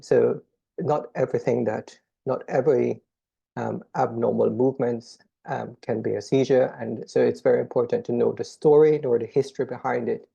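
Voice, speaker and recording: A middle-aged man with a Jamaican accent giving a measured, explanatory conference lecture as a children's neurologist, pausing often on "um". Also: medium pitch, vocal fry, mellow, husky, highs cut off. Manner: measured, explanatory, frequent "um" pauses.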